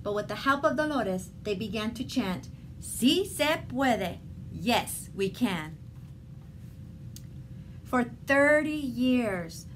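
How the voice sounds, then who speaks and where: A woman reading a children's picture book aloud in a deliberate, expressive storytelling manner. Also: fairly high pitch. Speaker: storybook reader. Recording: clearly noisy background ambience.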